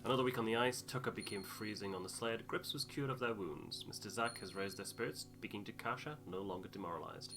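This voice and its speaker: Casting: male game playthrough host, lower-pitched, casual, thinks aloud, music playing behind him. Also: quick pace, gravelly, few pauses. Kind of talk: casual gameplay commentary, thinking aloud and reading out game text. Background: game music with guitar.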